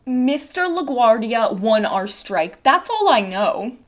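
A woman's voice: fairly high pitch, very animated, slow. A woman reading the part of a kindly, admiring young woman in a stage play. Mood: earnest. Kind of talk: script read-through of a play.

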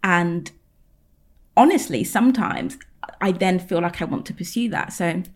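Woman in her 30s, kinda low voice, guest on a podcast, thinks out loud, lots of ums. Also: a little slow. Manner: candid, thinking aloud, many fillers. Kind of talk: casual interview chat.

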